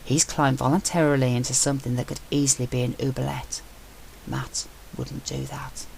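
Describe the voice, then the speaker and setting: A woman voicing a tense, quiet young man. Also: a bass voice, little pitch movement, normal speed, creaky, slightly rough, full character voice, low-quality recording. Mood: anxious. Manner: quiet, strained.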